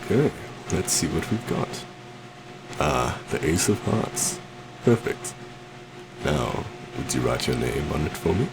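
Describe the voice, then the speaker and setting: A man's voice: very deep voice, very animated, a little slow, vocal fry, pauses often, noisy background, heavily acted. A man playing a suave, possessive stage magician in an ASMR roleplay, theatrical and courtly, coaxing sweetly with a menacing undertone. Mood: playful showmanship. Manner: theatrical, courtly, soft coaxing with terms of endearment.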